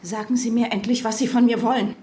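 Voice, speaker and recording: An actress playing a frightened, haunted wife and mother in a German radio drama, tense and deliberate, defiant under pressure. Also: a little high, fairly even intonation, warm tone, slightly rough. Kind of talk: German radio drama acting with inner monologue. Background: music.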